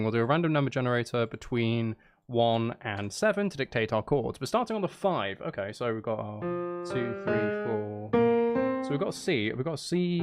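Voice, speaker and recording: A man chatting casually on a livestream as he composes, thinking aloud in an apologetic, self-interrupting way. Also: medium pitch. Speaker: live streamer and voice performer. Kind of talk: casual livestream chat while composing music.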